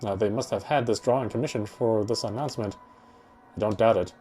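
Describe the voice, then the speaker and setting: A man reading a horror visual novel aloud in an expressive, first-person storytelling manner. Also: on the low side, brisk. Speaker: let's-player reading a game aloud. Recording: game music behind the voice. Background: game music.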